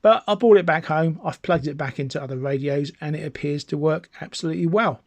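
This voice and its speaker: A British man with a slightly high-pitched voice, recorded dry with very little room sound. He talks like an enthusiastic hobbyist presenting a home demonstration, informal and chatty, rambling along as he explains. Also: a little fast, no echo. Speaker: amateur radio enthusiast presenting a video. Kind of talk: informal product demonstration to camera.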